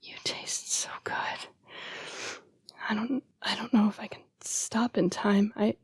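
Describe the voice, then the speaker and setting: Woman as a breathy, whispering, apologetic vampire. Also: medium pitch, flat delivery, no echo. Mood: ravenous, remorseful. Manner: formal, halting, hushed delivery. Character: apologetic female vampire struggling with bloodlust, protective yet predatory. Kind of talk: intimate scripted audio roleplay addressed to the listener.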